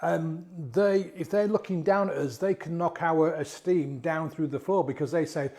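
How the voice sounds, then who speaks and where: A middle-aged man with a croaky voice from a cold, talking casually to camera about confidence. His voice is slightly high for a man but mellow and warm. He talks in a chatty way, asking rhetorical questions and restarting his phrases. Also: normal speed. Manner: conversational, asks rhetorical questions, repeats and restarts words. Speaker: mindset coach and hypnotist. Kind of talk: casual coaching talk to camera.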